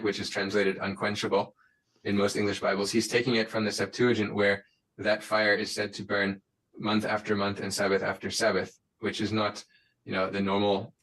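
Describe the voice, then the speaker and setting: A man with a low, flat voice reads a prepared critique aloud. His delivery is measured and lecture-like, with little rise or fall. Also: low-quality recording.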